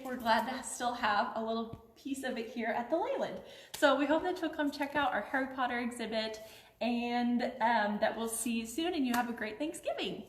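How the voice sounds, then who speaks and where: A woman in her twenties presenting museum artifacts to camera in an informal, chatty, friendly way. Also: on the high side. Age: 20s. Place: large indoor museum hall. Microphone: handheld phone camera a few feet away. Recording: roomy, echoey phone video indoors.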